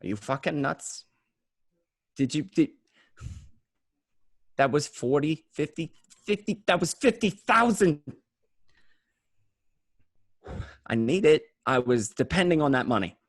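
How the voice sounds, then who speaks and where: A man's voice: fairly high pitch, sing-song, a little slow, long pauses, dry recording, studio quality, heavily acted. A man playing a desperate, paranoid small-time gangster, stammering and swearing, in an amateur script read. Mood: furious, panicked. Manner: stammering, repeating, profane.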